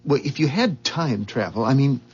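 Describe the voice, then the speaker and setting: A man's voice: medium pitch, lively intonation, normal speed, breathy edge. An actor playing an ordinary, astonished man who questions everything incredulously, in 1970s radio drama style. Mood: puzzled, probing. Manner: incredulous, inquisitive. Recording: dull 1970s radio recording, faint music.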